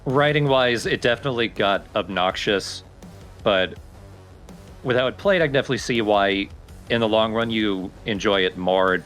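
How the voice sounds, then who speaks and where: A man with a fairly low voice talks through his views on a video game in a casual but analytical way. Soft game music sits behind his voice. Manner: analytical, opinionated. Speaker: let's play co-commentator. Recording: background music under the voice.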